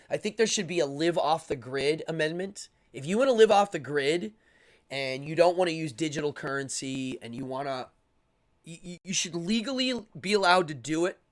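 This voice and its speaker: A man hosting a live stream, talking casually and opinionatedly as he answers chat, rambling and repeating words in quick bursts. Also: on the high side. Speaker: live-stream show host.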